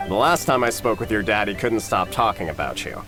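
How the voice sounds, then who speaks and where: Man playing prying older relative, low voice, familiar, chiding, anime game, music behind. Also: lively intonation, fairly quick, close to an everyday voice. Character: nosy older male relative, mildly scolding. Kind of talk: anime-style game voice acting.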